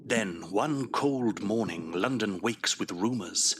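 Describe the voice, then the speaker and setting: A man's voice: lowish voice, expressive, takes it slowly, a little hiss or hum. A man narrating an audio drama in a dramatic, scripted storytelling style. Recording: music behind the voice.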